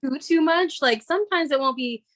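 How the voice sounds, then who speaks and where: A woman's voice: high voice, normal speed, fairly bright tone. A woman in her thirties co-hosting a podcast, chatting casually with lots of laughter and fillers. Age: 30s. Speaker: podcast co-host. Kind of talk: casual chat.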